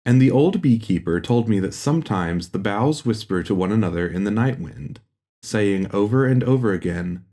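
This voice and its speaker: Low, mellow man reading an eerie story. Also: expressive, moderate pace. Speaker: audiobook reader. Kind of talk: scripted literary narration of a gothic horror tale.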